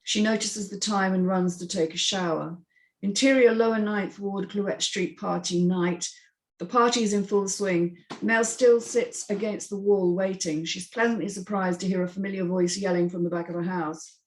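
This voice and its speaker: A woman's voice: mid-range voice, fairly even intonation, normal speed, roomy sound, low-quality recording. A woman narrator reading screenplay stage directions in a scripted style.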